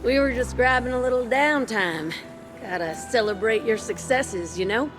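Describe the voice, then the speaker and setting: A woman's voice: fairly high pitch, moderate pace, lightly acted. A woman acting as a friendly young pilot in a game cutscene. She has a drawl and talks in a casual, earnest way, with music underneath. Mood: cheerful, playful.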